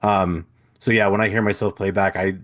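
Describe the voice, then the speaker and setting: A man's voice: low-pitched, little pitch movement, normal speed, fairly bright tone. A man who works as a radio DJ, chatting easily as a podcast guest with short agreeing replies. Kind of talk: casual interview chat.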